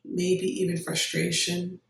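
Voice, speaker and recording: A meditation guide giving gentle, unhurried instructions with long pauses between phrases. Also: medium pitch, flat delivery, vocal fry, a little hiss or hum. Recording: roomy echo, distant microphone.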